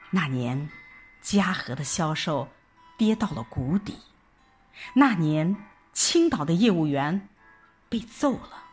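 A woman reading a scripted first-person audio drama narration in an expressive, reflective storytelling manner. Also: lowish voice, husky. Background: background music.

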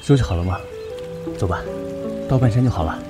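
A man acting a composed, considerate young teacher in a Chinese radio drama, speaking in a measured, understated way. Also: lowish voice, close to an everyday voice. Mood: gently concerned. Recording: music behind the voice.

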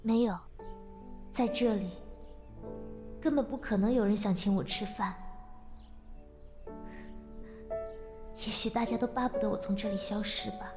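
An actress playing a shy, insecure young female secretary in an audio drama, eager and tender, with a soft, hesitant delivery. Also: medium pitch, normal speed, long pauses, music in the background, lightly acted. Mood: wistful. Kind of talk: Mandarin audio drama acting.

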